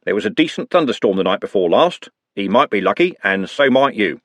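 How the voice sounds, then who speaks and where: A man voicing a patient police constable, speaking calmly and evenly. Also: on the low side, a little fast, dry recording. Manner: calm, even. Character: calm, patient male city constable.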